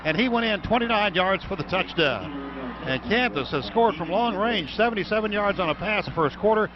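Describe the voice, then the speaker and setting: A man's voice: sits high, animated, brisk. A man doing live play-by-play football commentary as a radio announcer. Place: stadium broadcast booth. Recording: noisy, dull radio broadcast recording.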